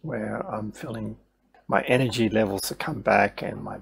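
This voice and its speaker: Middle-aged guy on a headset mic, super gravelly husky voice, candid, lots of restarts. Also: lowish voice, steady tone, normal speed. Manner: candid, thinking aloud with restarts. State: voice still recovering after losing it. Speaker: video creator sharing his recovery. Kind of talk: casual talk to camera over slides.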